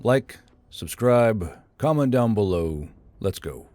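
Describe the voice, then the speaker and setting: A man's voice: fairly low pitch, expressive, slow, warm tone, slight background noise. A man hosting and narrating a scripted story in audiobook style. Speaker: narrator and channel host.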